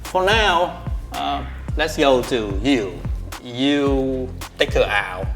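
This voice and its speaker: A man's voice: medium pitch, expressive, drawn-out words, vocal fry, a bit bright, faint music behind. Middle-aged man. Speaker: father interviewing his daughter's suitors. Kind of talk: casual unscripted interviewing.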